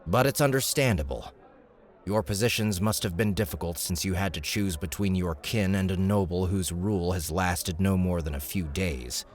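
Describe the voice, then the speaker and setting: A man's voice: deep voice, moderate pace, close to an everyday voice. A man voicing a shrewd, smug young noble in an audiobook, speaking in a glib, formal, commanding way. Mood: measured, charming. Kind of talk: audiobook character dialogue.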